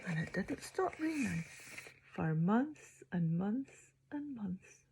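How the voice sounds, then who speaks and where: A woman storyteller reading to children theatrically, drawing out words and dropping into hushed near-whispers. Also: medium pitch, sing-song, takes it slowly, gravelly, very noisy.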